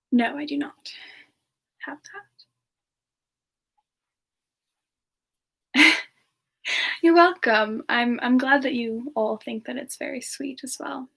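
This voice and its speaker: A woman acting as a gentle demon woman with a fairly high voice. She speaks very slowly and softly, pausing hesitantly as she answers questions in a semi-ASMR roleplay. Her manner is romantic and sweet. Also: vocal fry, exaggerated voice. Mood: shy, sweet. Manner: soft-spoken, hesitant, often chuckling. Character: gentle, romantic female demon reborn from a past life. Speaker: streamer answering viewer questions in character.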